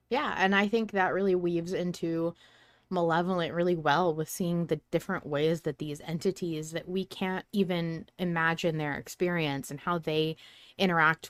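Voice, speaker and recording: A woman with a fairly low voice talks casually as a podcast host discussing a story she follows. The sound is dry, with little room in it, and her manner is reflective and enthusiastic. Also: fairly even intonation, moderate pace, no room sound.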